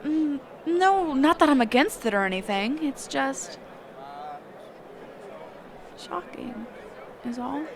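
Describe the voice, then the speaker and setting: A woman's voice: high-pitched, animated, slow, pauses often, background noise, heavily acted. A woman playing a kind, reclusive magic teacher in an intimate roleplay audio, speaking hesitantly, flustered and trailing off. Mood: surprised, flustered. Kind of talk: intimate character roleplay audio, one-sided conversation.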